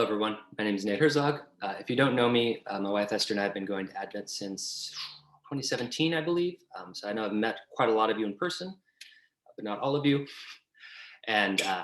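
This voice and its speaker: An echoey room surrounds a man from the United States with a fairly low voice. He lectures over a video call, explaining things in a conversational manner. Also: a bit bright. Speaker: guest seminar lecturer.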